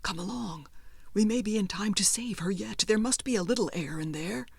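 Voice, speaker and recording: A woman's voice: medium pitch, normal speed, gravelly, breathy edge, dry recording. A woman voicing a determined, tense husband in a storybook reading, with insistent urgency. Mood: urgent.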